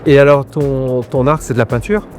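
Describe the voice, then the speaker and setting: A man's voice: mid-range voice, steady tone, normal speed, darkish tone. Casual male interviewer, faint music. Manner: friendly, informal, repeats words. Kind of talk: casual interview at an art fair.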